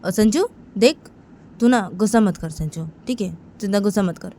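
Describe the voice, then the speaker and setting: A woman's voice: mid-range voice, brisk, mellow, slight background noise, close to an everyday voice. A woman voicing an agitated older father who scolds his son and daughter-in-law with insistent, repeated pleas and questions. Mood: agitated scolding.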